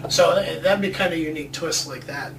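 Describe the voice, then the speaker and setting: A man's voice: on the high side, a little fast, creaky. A man co-hosting a casual video chat, rambling informally and joking along the way. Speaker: co-host of a video show about movie ideas. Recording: roomy, distant mic with background noise.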